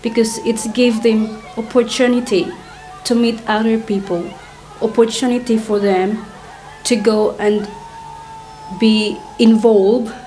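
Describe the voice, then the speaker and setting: A woman's voice: a little high, fairly even intonation, slow, warm tone, faint music behind, rough recording. A woman, a program leader, speaking in simple, keen phrases.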